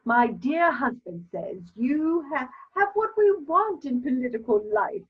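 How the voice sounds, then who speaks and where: Haughty old society mother, acted by a woman, slow and swooping delivery, period stage comedy, slightly dull recording. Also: high voice, very animated, slightly rough, exaggerated voice. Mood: insistent, flattering. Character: imperious, disapproving elderly society matron, a mother. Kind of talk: theatrical dramatic reading of a period comedy.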